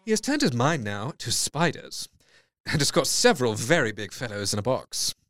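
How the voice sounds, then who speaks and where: A studious, brooding asylum doctor, records his case diary for an audio drama. He is formal and reflective, with a clinical turn. His mid-pitched voice moves up and down a great deal. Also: very animated, moderate pace, fairly bright tone, no echo. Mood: watchful curiosity.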